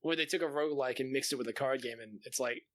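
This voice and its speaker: A man's voice: mid-range voice, fast, a bit bright. Male gaming podcast host, mid-range voice, casual, loose, sweary delivery. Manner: slangy, sweary. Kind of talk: casual chat.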